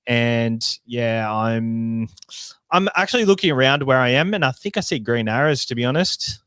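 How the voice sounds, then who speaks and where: A man with a New Zealand accent co-hosting a casual sports podcast, speaking in an easygoing, halting way with fillers and restarts. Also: mid-range voice, lively intonation, fairly bright tone, clear, resonant tone, no echo. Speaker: podcast co-host.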